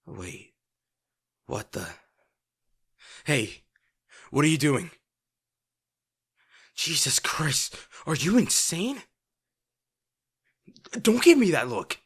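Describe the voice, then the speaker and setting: Man acting a husky, volatile teenage bully boy. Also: on the high side, huge pitch swings, exaggerated voice. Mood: alarmed, angry. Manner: gruff, swearing, sighing, halting when upset. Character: brash teenage bully boy, abrasive but secretly caring. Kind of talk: dramatic audio roleplay addressed to the listener.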